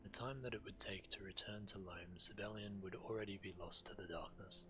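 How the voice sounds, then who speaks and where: An actor playing a grave, calculating dragon strategist in a fantasy game, speaking formally with measured brooding. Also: male voice, lowish voice, steady tone, over music, natural voice. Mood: grave, urgent. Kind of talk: dramatic fantasy game dialogue.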